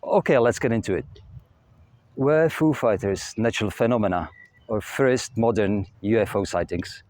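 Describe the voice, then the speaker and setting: A young man with a Czech accent, hosting his own channel and telling stories in a steady, matter-of-fact way. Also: lowish voice, moderate pace. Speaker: channel host. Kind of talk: scripted narration. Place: outdoors near a castle.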